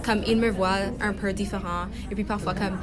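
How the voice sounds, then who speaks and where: A woman's voice: mid-range voice, fairly even intonation, normal speed, over a noisy crowd. A teenage girl with a slight Spanish accent, a student speaking tentatively in French during a class round-table discussion. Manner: tentative, with "um" and "comme".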